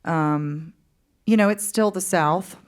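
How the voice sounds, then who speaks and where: A woman singer-songwriter from the Upper Midwest, answering interview questions on stage in a relaxed, hesitant way. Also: fairly low pitch, slow pace. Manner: relaxed, hesitant, laughs easily. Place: stage in a concert hall.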